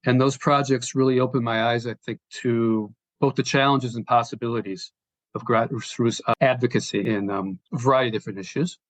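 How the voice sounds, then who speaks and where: A man's voice: medium pitch, fairly even intonation, a little fast, no room sound. Middle-aged American man, dry close sound. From United States, born in Slovenia. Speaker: interview guest, sports sustainability executive. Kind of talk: conversational career account.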